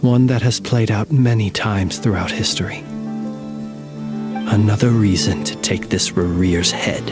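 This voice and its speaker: An actor playing a proud, solemn male warrior in a video game, speaking in formal, measured, old-fashioned phrases with quiet grief. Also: fairly low pitch, fairly even intonation, loud music behind the voice, close to an everyday voice. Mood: somber, bitter.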